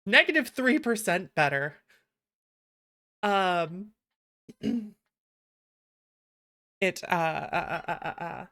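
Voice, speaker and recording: A woman hosting a livestream, chatting casually in an animated, teasing way with some swearing. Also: fairly low pitch, slow, pauses often. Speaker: livestreamer, VTuber host.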